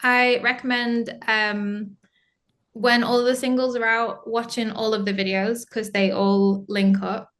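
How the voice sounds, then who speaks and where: A woman's voice: fairly high pitch, flat delivery, unhurried, fairly bright tone. Chatty young woman, laughing guest. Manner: chatty, many fillers, laughs often. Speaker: band singer, interview guest. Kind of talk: casual interview chat.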